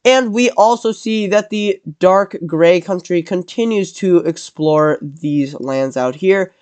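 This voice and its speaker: High-voiced man with a cold. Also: takes it slowly, a little mellow, clean tone, no echo. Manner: rambling, corrects himself mid-sentence. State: has a cold. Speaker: channel host narrating a map scenario. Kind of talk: casual commentary over a map video.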